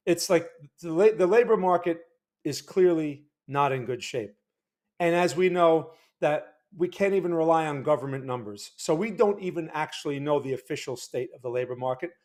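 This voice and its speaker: A man's voice: on the high side, normal speed, a little mellow. A man hosting a market analysis livestream in a loose, chatty way, greeting viewers and restarting his sentences. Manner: chatty, reads out chat names, loose restarts. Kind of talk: casual livestream talk. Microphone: desk microphone.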